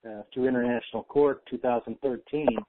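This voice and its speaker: A man's voice: medium pitch, steady tone, brisk, slight background noise. A man hosting a casual conference-call discussion, explaining things in an earnest, conversational way. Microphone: telephone. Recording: tinny, phone-line conference call.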